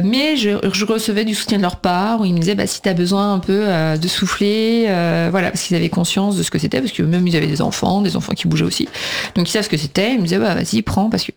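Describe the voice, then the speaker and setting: A woman's voice: fairly low pitch, vocal fry, darkish tone. A woman talking conversationally as a radio guest, emphatic and talkative, often voicing what other people say. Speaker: radio guest, preschool teacher and mother.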